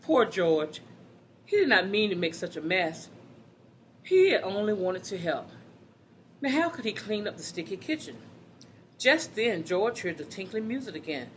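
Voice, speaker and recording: A woman's voice: mid-range voice, sing-song, moderate pace, long pauses, slight background noise. A woman reading a children's story aloud, expressively, addressing her young listeners directly.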